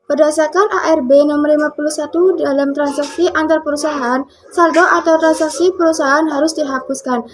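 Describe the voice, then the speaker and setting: A young woman, a student presenter, reading out a scripted lesson. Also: sits high. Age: young adult. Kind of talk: scripted lesson narration read aloud.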